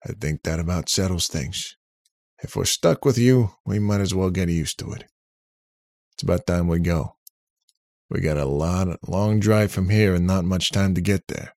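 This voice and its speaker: A man voicing a practical, resigned man in an audiobook, speaking in a plain, deliberate, matter-of-fact way. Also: sits low, a little mellow, dry recording, studio quality. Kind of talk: audiobook character voice.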